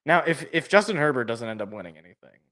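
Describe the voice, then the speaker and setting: Excitable man with a worn-out voice. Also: mid-range voice. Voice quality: loud voice. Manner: excitable, repeats words when worked up. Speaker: podcast co-host. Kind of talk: casual sports chat.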